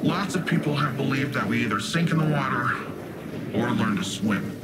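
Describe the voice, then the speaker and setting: An actor playing a young, cocky, big-hearted cybernetic guardian in a video game, chatty, slangy and playful. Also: male voice, mid-range voice, moderate pace, loud music behind the voice, natural voice. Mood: upbeat, playful. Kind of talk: video game cutscene acting.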